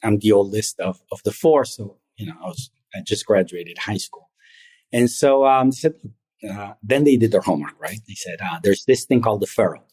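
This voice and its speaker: A middle-aged man born in Argentina, a private equity founder telling his story in a measured, reflective way as an interview guest. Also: fairly low pitch, expressive, darkish tone, breathy edge. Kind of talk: interview conversation.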